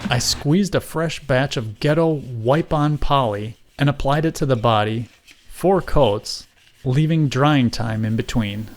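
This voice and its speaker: A man's voice: mid-range voice, measured pace. A man giving scripted how-to narration in a wry, mock-grand way. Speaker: host and craftsman.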